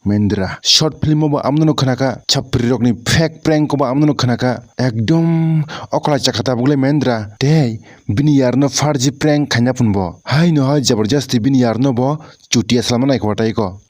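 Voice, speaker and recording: Man, casual mocking commentary, slangy ranting delivery, medium pitch, very dry sound. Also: a little fast, darkish tone, dry recording. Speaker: video creator reacting to prank clips.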